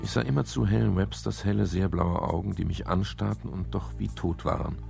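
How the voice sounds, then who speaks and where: A man's voice: deep voice, fairly even intonation, fairly quick, music in the background. An actor playing a weary, world-worn male private detective who acts and narrates in a German radio crime drama. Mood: weary narration. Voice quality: gravelly, husky.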